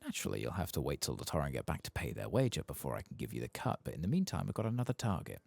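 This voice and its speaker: Man putting on a sly, gravelly trader. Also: a bass voice, wildly swooping pitch, very fast, a little mellow, no room sound, exaggerated voice. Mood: scheming. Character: sly, pushy wager-making trader in a fantasy game. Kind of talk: soft-spoken reading of game quest dialogue.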